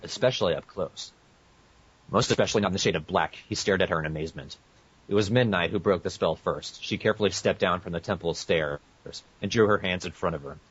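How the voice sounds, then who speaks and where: A man's voice: low-pitched, fast talker, a bit bright, slightly rough. A man co-hosting a podcast, reading fan fiction aloud with casual asides and often tripping over his words. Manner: stumbles over words and corrects himself. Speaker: podcast co-host. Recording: muffled, echoey lo-fi podcast audio.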